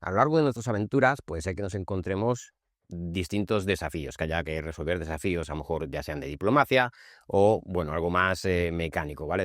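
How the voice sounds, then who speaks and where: Low-voiced man from Spain explaining game rules. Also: a bit bright, no echo, studio quality. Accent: Spanish from Spain. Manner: conversational, checking understanding with frequent short tags. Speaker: board game channel host.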